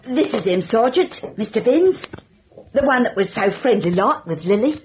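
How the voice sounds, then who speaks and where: A dull, slightly noisy vintage radio recording carries a woman acting a sharp landlady. Her voice is highish and very lively in pitch. She is blunt, gossipy and keen on her money, in radio-drama style. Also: normal speed, natural voice. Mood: nosy. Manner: blunt, nosy, demanding.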